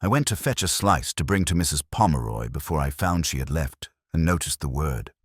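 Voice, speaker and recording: A man's voice: a bass voice, expressive, fairly quick, breathy edge, no echo, clean studio sound, exaggerated voice. A man voicing a flustered, anxious village woman with a breathless, quavering delivery for a radio-style mystery story. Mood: hesitant. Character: flustered, gasping village woman bringing news of an incident.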